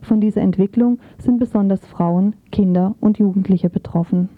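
A woman's voice: medium pitch, moderate pace, no room sound. A woman reading a scripted report as a radio reporter. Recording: muffled, phone-like narrow band.

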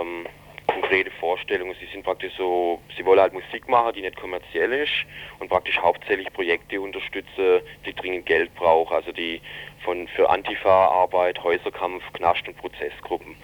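A man with a low voice gives an unscripted account in an interview. He speaks colloquially and haltingly, searching for his words. The recording is dull and lo-fi. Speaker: interviewee reporting on an incident. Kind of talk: spontaneous spoken eyewitness-style account.

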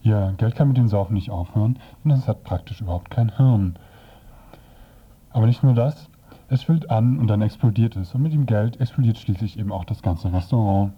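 A man presenting on radio, retelling a comic's story in a dry, hesitant, semi-improvised way with a husky voice. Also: lowish voice, brisk. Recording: dull, lo-fi radio recording.

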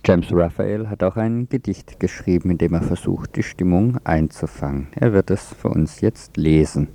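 A man presenting on radio, reading scripted narration and poetry in a measured, solemn manner. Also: low voice, vocal fry, mellow.

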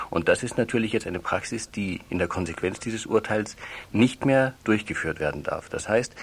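A man's voice: deep voice, breathy edge. A man speaking as a lawyer in a radio interview, explaining at length in formal legal wording and often reworking his phrases as he goes.

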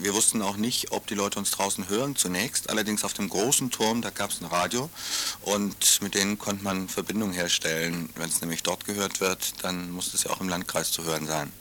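A man narrating a radio documentary report, calmly explaining past events. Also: lowish voice, slight background noise.

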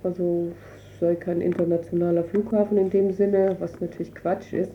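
Woman, low pitch, very muffled lo-fi sound, faint noise, hesitant colloquial interviewee. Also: little pitch movement, moderate pace. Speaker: interviewee, refugee council representative. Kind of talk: spontaneous interview explanation. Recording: dull lo-fi radio recording, noisy.

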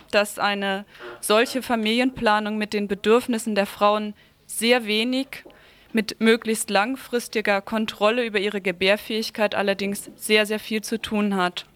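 A woman reading out a scripted political report for radio at a medium pitch.

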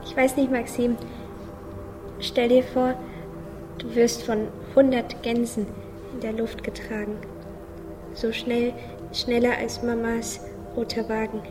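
Dreamy little boy played by a woman: slow, even, slightly high, naive wonder, radio drama. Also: music in the background. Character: small dreamy, curious boy asking big questions. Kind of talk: German radio play dialogue.

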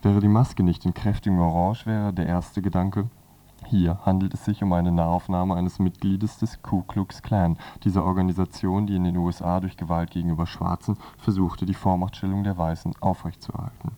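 A man's voice: low-pitched, level tone, moderate pace, dark tone. A man presenting a scripted magazine review on the radio as narrator.

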